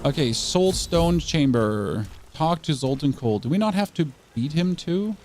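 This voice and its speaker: A chatty German man narrates his own gameplay with quick bursts of excitement, his voice sitting fairly high for a man. Game music hums faintly behind him as he talks. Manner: chatty, excitable, self-correcting. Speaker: streamer. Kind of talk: casual gameplay commentary.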